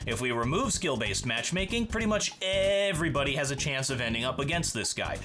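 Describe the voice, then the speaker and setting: Bright man explaining methodically. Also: mid-range voice, big pitch swings, brisk, slight background noise. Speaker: gaming video creator. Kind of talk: explanatory video narration.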